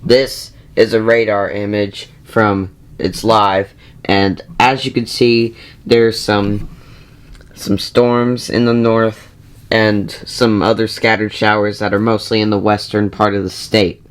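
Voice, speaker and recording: A man's voice: on the low side, level tone, slow, clean tone. A man giving casual commentary in a wry, mildly exasperated way, as a hobbyist narrating a video.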